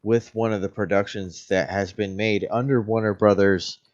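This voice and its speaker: A man in his late thirties with a low voice, chatting as a co-host. He stops and starts, piling up "um"s and self-corrections. His audio sounds dull and muffled, like a lo-fi call. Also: fairly even intonation, a little mellow. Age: 30s. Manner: halting, full of "um" and restarts. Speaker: podcast co-host. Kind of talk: casual chat.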